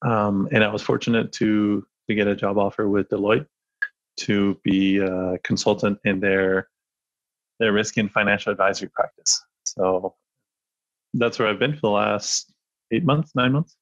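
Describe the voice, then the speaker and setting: A low-voiced man talks through his answers as a podcast guest, pausing often with fillers and restarts. He sounds thoughtful and unhurried. The recording is dry and a little dull. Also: steady tone, no room sound. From born in France, raised in Spain. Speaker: podcast guest, data analyst. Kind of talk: casual interview talk.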